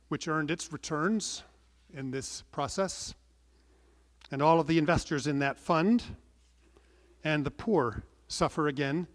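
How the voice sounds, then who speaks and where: Middle-aged male pastor preaching in a church. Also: a little high. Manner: earnest, measured preaching with rising emphasis and soft trailing endings. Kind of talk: sermon. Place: large wood-panelled church, indoors. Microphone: pulpit microphone. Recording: long church reverb.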